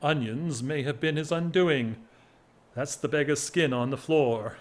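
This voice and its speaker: An actor playing a whimsical, lovesick English gentleman of forty-one in a period audio drama, wry, measured and self-mocking. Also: a little high, natural voice.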